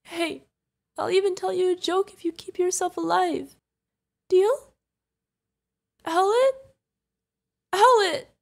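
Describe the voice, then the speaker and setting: A woman's voice: very high voice, steady tone, a little slow, creaky, long pauses, mellow, heavily acted. A woman playing a fierce yet tender witch mentor who is protective of an adopted pupil, in an emotional fantasy audio roleplay. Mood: desperate. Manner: emotional, commanding, affectionate, pleading. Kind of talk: ASMR fantasy roleplay acting.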